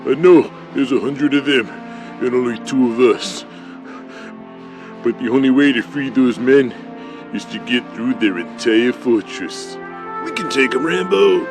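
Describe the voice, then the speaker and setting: A man's voice: medium pitch, lively intonation, a little slow, music in the background. Macho eighties action-star muscleman. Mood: heroic resolve. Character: macho 1980s action-star muscleman, laid-back and bro-ish.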